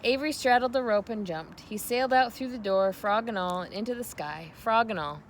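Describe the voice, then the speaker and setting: A woman giving an expressive read-aloud narration of a children's novel. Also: fairly high pitch, a little fast, a little hiss or hum. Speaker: storybook reader.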